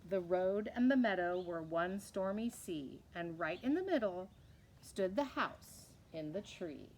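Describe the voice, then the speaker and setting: A woman reading a children's picture book aloud expressively, in measured, rhythmic verse. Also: fairly low pitch, animated, slight background noise. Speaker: read-aloud storyteller.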